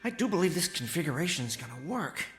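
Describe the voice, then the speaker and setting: An actor in a radio drama playing a timid, henpecked middle-aged inventor husband who mutters and exclaims fussily. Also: male voice, fairly high pitch, big pitch swings, fast talker, music in the background. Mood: eager, musing. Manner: fussy, muttering to himself, exclaiming.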